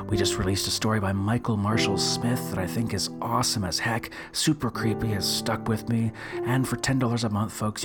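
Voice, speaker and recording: A man hosting a podcast in a scripted but folksy, informal way, with guitar music behind. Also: lowish voice. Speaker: podcast host.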